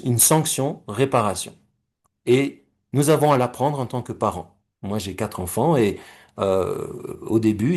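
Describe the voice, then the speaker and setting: Breathy man explaining his method. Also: mid-range voice, a little mellow. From Belgium and Rwanda. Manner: measured, explanatory, uses homely comparisons and questions to listeners. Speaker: speaker presenting his conflict-resolution method. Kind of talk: recorded talk.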